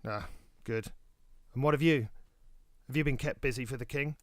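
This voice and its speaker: A man playing a composed, stern royal godfather in an audio drama, with measured, formal delivery. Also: mid-range voice, no room sound, clean studio sound, close to an everyday voice. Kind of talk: scripted audio drama acting.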